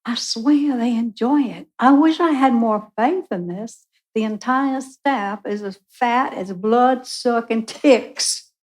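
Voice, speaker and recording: A woman's voice: fairly high pitch, a little mellow. A woman playing a griping, suspicious patient in a live radio play. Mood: complaining. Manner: drawn-out, griping. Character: bitter, suspicious, complaining patient.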